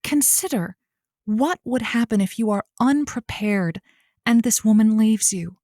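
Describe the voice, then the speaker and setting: A woman playing a frank, folksy and wry advice host on a comedy call-in show, with measured, pointed phrasing. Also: on the high side, animated, darkish tone, no room sound, clean studio sound. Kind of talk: improvised comedy radio advice talk.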